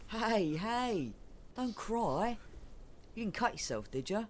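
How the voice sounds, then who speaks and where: A chatty, falsely reassuring mechanic's sidekick in an audio drama. His voice sits a little high and moves about a lot. Faint noise runs underneath. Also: big pitch swings, slow pace, full character voice. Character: chirpy sinister male mechanic sidekick.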